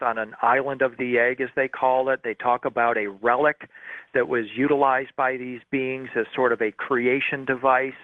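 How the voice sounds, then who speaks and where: Tinny phone sound, male, mid pitch, earnest assured guest, radio interview. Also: dry recording. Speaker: guest researcher. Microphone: telephone. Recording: tinny narrowband phone line.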